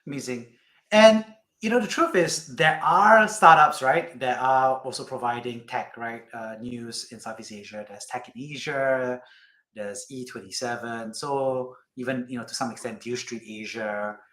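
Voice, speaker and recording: A man's voice: medium pitch, a little slow, fairly bright tone. A man hosting a podcast interview, asking probing questions conversationally and often checking in with tags like "right?". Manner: probing, frequent "you know" and "right?" tags. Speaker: podcast host. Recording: roomy, echoing voice recording.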